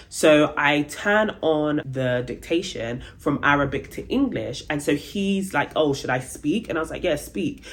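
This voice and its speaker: A young adult woman vlogging about her travels, telling a story casually and acting out the dialogue with big jumps in pitch. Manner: chatty, many fillers like "like" and "um".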